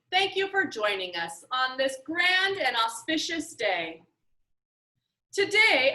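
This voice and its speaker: A woman voicing an eccentric, showy billionaire game-maker in a storybook reading, announcing grandly with drawn-out emphasis. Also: high-pitched, bright, forceful tone, pitched above the performer's own voice, exaggerated voice. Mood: grand, excited. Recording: roomy echo.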